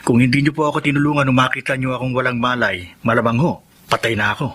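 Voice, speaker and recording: A man's voice: medium pitch, steady tone, quick pace, a bit bright, lightly acted. An actor playing a humble, polite, grateful young Filipino man with amnesia in a radio drama.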